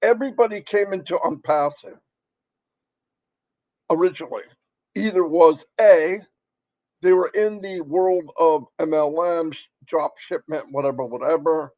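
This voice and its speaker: A man with a crackly voice presenting on a live stream, giving a rambling, folksy motivational pitch and repeating points for emphasis. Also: a little mellow, no room sound, dull audio.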